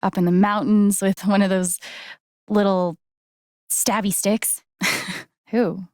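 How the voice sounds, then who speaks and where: A woman playing a sincere, gently wry young game heroine, with an American accent and an understated, conversational delivery. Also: medium pitch, level tone, slow, dry recording, clean studio sound, close to an everyday voice. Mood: warm, teasing. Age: young adult. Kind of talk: naturalistic video game voice acting.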